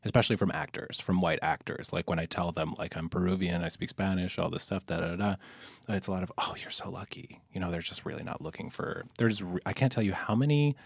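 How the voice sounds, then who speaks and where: A man's voice: low voice, quick pace, creaky, husky, no echo, clean studio sound. A man hosting a podcast, chatting casually with a guest, laughing often and restarting his sentences. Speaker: podcast host.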